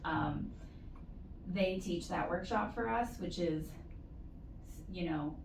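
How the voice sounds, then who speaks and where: A woman giving measured, explanatory advice as an interview guest, in a conversational way with stretched emphasis. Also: on the low side, gravelly. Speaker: interview guest, university postdoctoral support staff. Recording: roomy echo, distant mic, faint noise.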